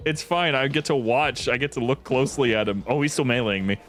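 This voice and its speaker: A man hosting a game stream, chatting casually with curious, reactive remarks and questions. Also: mid-range voice. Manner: reactive, curious, quick to laugh. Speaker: stream host. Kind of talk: casual chat while playing a game. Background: background music.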